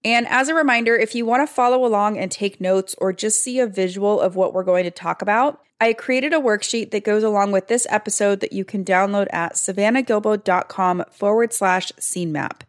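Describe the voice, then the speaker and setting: A woman hosts a podcast about fiction writing, her voice a touch hoarse and froggy. She explains each point in an upbeat, orderly way, as if reading from prepared notes. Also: mid-range voice, normal speed, hardly any pauses. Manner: upbeat, clear step-by-step explaining. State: slightly hoarse. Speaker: podcast host and writing coach. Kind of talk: scripted podcast teaching.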